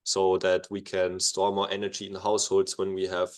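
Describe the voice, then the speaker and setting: A man with a lowish voice explains something to a class in a relaxed way. He thinks aloud as he talks, with small pauses. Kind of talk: informal explanation.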